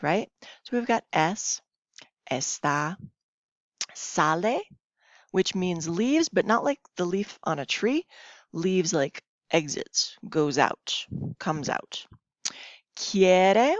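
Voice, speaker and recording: A woman teacher giving a recorded lesson, reading slides aloud in an instructive way with short check-in questions. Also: fairly low pitch. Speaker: Spanish teacher. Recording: dull lo-fi, roomy home recording.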